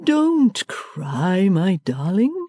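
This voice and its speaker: A woman reader voicing a meek, gentle older father who speaks mildly and slowly. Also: mid-range voice, sing-song, dry recording, studio quality, voice pushed up, heavily acted. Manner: mild, slow and soothing.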